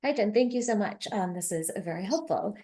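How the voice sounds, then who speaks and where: A woman's voice: medium pitch, lively intonation, a little fast, warm tone. A woman on a video call asking a follow-up question politely and thoughtfully. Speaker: webinar attendee asking a question.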